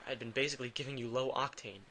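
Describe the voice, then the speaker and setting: Man playing a brooding, bright-voiced reluctant vampire. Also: medium pitch, steady tone, slight background noise. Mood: subdued, guilty. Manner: halting, defensive, stammering under pressure. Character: brooding, drained, guilt-ridden reluctant male vampire. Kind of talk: intimate audio drama acting.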